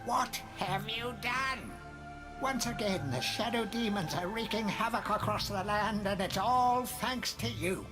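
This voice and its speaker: A woman's voice: mid-range voice, expressive, unhurried, natural voice. A woman playing a stern, scornful prophetess in a video game, scolding in grave, dramatic tones. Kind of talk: video game character acting. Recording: music behind the voice.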